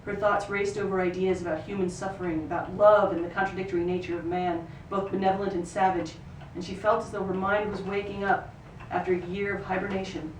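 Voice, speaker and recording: A woman's voice: mid-range voice, little pitch movement, a little fast, hardly any pauses. Distant, echoey woman reading fiction aloud. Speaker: actor reading a book excerpt. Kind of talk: live literary reading, third-person narration. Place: live reading in a roomy venue. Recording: roomy, distant microphone, noisy ambience.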